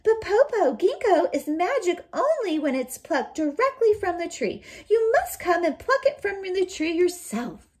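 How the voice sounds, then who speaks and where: A clever eldest sister from a storybook, played by a woman, speaking in a very high, animated girlish voice. She coaxes sweetly and feigns innocence while scheming. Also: unhurried, full character voice. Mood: sweetly enticing. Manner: sweet, coaxing, pretend innocence.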